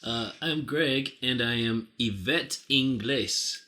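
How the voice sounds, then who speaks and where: A man's voice: medium pitch, slow pace, bright, forceful tone, slight background noise. A man, an improv actor, casually introducing himself and his part.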